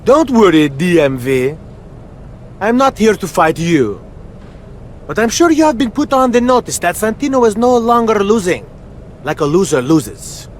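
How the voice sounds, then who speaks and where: A man's voice: sits high, very animated, moderate pace, a little hiss or hum. A man playing a goofy, proud comic wrestler in a video game, boasting pompously in broken English. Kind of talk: video game cutscene acting.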